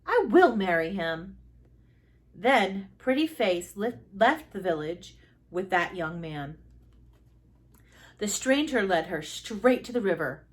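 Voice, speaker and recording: A woman reading a children's story aloud as expressive narration, with deliberate dramatic emphasis and pauses. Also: mid-range voice. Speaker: storybook reader.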